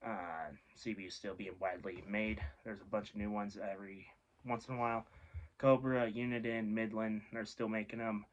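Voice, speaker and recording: A man's voice: on the low side, flat delivery, normal speed, muffled recording. A man giving a casual, informal explainer as a video host, rambling and offhand, often correcting himself. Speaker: host of a radio hobby video series.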